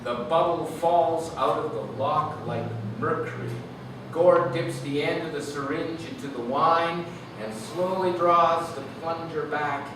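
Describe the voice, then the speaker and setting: A man giving an animated, lyrical literary reading to an audience, phrasing deliberately. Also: on the high side. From Canada. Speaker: author reading own work. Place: live reading event in a room. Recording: echoey noisy room, distant mic.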